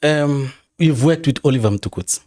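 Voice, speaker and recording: A man with a South African accent hosting an interview, chatty and prompting with quick affirmations. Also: medium pitch. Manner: lively, frequent short affirmations, switches between English and Zulu. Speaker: podcast host. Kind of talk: casual interview chat.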